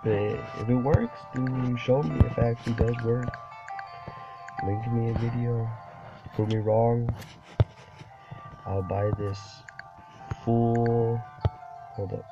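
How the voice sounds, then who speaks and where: A man's voice: medium pitch, fairly even intonation, slow pace, long pauses. A young man with a cold, rambling casually over gameplay as a gaming YouTuber and saying "dude" a lot. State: sick, voice deeper than usual. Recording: dull lo-fi recording with game music behind. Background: game music.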